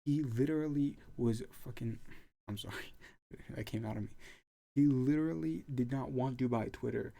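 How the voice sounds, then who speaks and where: A man's voice: mid-range voice, creaky, warm tone. A man hosting a reaction video, commenting bluntly and with exasperation on a debate clip.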